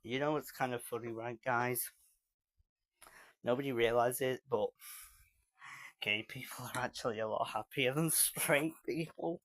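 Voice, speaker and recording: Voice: mid-range voice, measured pace, pauses often, a bit bright, dry recording. Chatty teen streamer with a Manchester accent. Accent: British, Manchester. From England. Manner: chatty, rambling, laughs often. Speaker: live streamer reacting to videos. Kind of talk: casual reaction chat.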